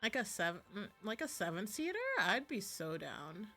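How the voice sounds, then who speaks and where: A woman's voice: medium pitch, sing-song, normal speed, bright, forceful tone. Rambling, gushing woman streamer over music. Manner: rambling, gushing, self-interrupting. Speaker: livestreamer playing visual novels. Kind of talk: casual chatty stream commentary. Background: background music.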